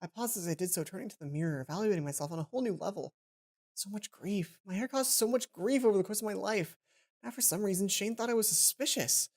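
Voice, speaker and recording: A woman's voice: mid-range voice, quick pace, darkish tone. A woman doing a let's play, reading a visual novel's story aloud dramatically and dropping in casual asides about her own slips. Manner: acts out dialogue, stumbles and comments on own slips. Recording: music under the voice. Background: game music.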